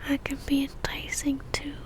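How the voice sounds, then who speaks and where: A woman playing an obsessive, seductive female vampire in a whispered ASMR roleplay, speaking intimately with a teasing, soft menace. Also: high voice, monotone, normal speed, lightly acted. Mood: seductive, possessive.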